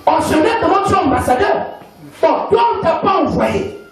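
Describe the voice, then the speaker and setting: A man's voice: extremely high pitch, animated, moderate pace. A man from Congo preaching a sermon as pastor, emphatic and repetitive, drawing amens from the congregation. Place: church hall. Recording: echoey hall, mic from far off, congregation audible. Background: congregation responding amen.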